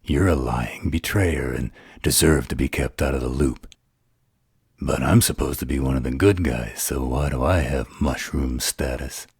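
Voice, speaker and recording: Man acting as a very deep, gravelly, sardonic vampire. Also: darkish tone, no echo, full character voice. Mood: sardonic, probing. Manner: slow, sarcastic, probing. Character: Cobalt, sardonic male vampire interrogator, flirtatious. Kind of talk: dramatic audio roleplay acting.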